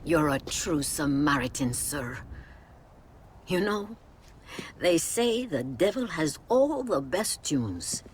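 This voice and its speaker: Mexican Mother Superior, Western game. Wry, composed, articulate, very expressive pitch, Mexican accent. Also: slow, breathy edge, exaggerated voice. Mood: dryly amused. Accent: Mexican Spanish. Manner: wry, articulate, dryly pious. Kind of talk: video game cutscene acting.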